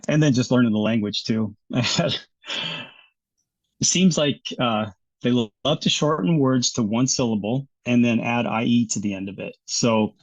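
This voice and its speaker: A man's voice: medium pitch, normal speed. Easygoing American man with a slightly dull sound. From United States. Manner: easygoing, laughs often, restarts sentences. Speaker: interview guest. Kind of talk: casual interview chat.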